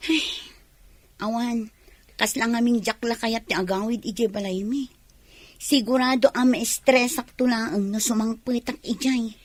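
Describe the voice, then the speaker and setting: A woman's voice: a little high, steady tone, unhurried, dry recording. A woman with an Ilocano Filipino accent playing a defiant young daughter in a radio drama. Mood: downcast. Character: defiant young daughter who loves singing.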